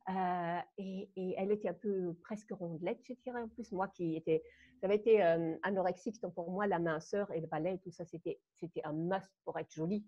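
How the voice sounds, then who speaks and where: A woman in her fifties from Germany, speaking with a German accent, tells her story as a guest on a video call. She talks in an animated way, hesitates often and laughs between phrases. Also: lowish voice, fairly quick. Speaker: guest dance teacher. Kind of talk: personal storytelling on a live call. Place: home room. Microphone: webcam on a video call. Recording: muffled video call audio.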